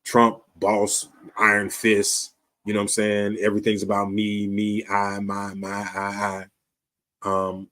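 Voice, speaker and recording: A man in his fifties with a Chicago accent, hosting his own show and talking straight to camera in a relaxed, slangy way, often checking in with "you dig?". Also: on the low side, unhurried. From Chicago, USA. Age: 50s. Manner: laid-back street slang, frequent "you dig?" and restarts. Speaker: show host. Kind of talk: casual talk to camera.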